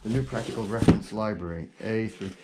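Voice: medium pitch, fast. Male book seller, easygoing, stammers, background noise and clicks. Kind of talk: casual chat. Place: indoors, room of old books.

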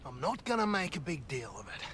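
A self-important rogue in a video game, speechifying pompously. His voice is high and very animated, with crowd noise behind. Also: a little fast. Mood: feigned modesty. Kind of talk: video game comic villain acting.

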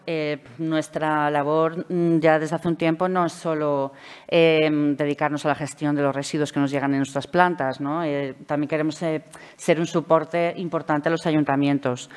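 Woman, middle-aged, Valencian Spanish accent, deep voice, conference talk at a table mic, measured, hesitant fillers. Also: clean tone. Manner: measured, explanatory, frequent "eh" fillers. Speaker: speaker at a public administration conference. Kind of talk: conference presentation. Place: conference hall, seated at a table. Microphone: table microphone.

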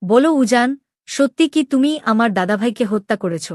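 Young princess, acted by a woman, cartoon drama, raised pitch, dry sound, proud, lovestruck, demanding, emotional. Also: expressive, moderate pace, no echo, natural voice. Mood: anguished, accusing. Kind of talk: dramatic Bengali animated story dialogue.

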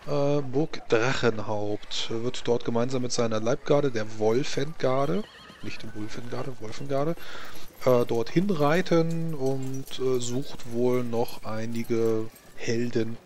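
Halting man narrating, faint noise behind. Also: mid-range voice, a little mellow. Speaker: game master. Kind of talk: narrating a tabletop role-playing game.

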